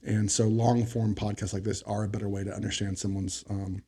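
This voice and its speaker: Gravelly, husky man in his forties. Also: fairly low pitch, flat delivery, a little fast. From Florida, USA. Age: 40s. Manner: candid, chatty, trails off quietly. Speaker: guest, business owner. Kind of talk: casual interview chat.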